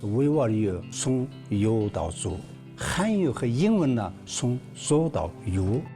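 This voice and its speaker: A man, a professor and engineer, giving an interview in which he explains his technology deliberately. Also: mid-range voice, very animated, dark tone. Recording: music bed under the voice.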